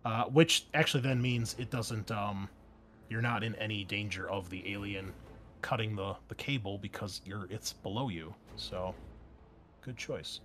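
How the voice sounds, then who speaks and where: A man narrating a tabletop roleplaying game as game master, improvising in a halting, conversational way. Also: on the low side, a bit bright, a little hiss or hum. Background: background music.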